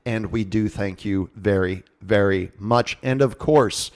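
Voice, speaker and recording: A man's voice: fairly low pitch, measured pace. A man hosting a podcast in a casual, jokey radio-show style with informal asides. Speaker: podcast host.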